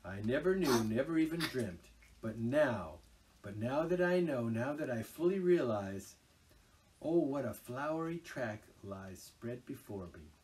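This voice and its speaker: A man's voice: medium pitch, expressive, unhurried, darkish tone, recorded from a distance, slight background noise, pitched above the performer's own voice, close to an everyday voice, low-quality recording. A man voicing a rapturous, boastful male toad lost in a dreamy obsession, gushing and swooping through his lines. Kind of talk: storybook reading aloud.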